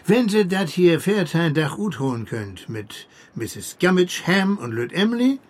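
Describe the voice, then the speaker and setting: An actor playing a hearty, rough-mannered, kindly fisherman with a Northern German accent in a radio play. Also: high-pitched, very animated, a little slow, heavily acted. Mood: hearty, polite. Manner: jovial, bluff dialect speech.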